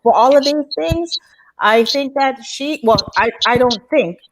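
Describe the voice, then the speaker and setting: A woman's voice: high-pitched, lively intonation, unhurried, rough recording. A woman from Canada speaking reflectively and explaining her view as a panelist in a conversational live discussion. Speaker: panelist on a live talk show.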